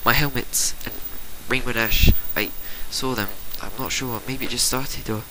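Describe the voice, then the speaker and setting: A man's voice: medium pitch, steady tone, moderate pace, close to an everyday voice. A lonely young pegasus filly from a story reading, voiced by a man. The delivery is plaintive and hesitant, with hurt under the sullenness. Some noise and clicking sit on the recording. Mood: lonely, confiding. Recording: noisy amateur recording with clicks.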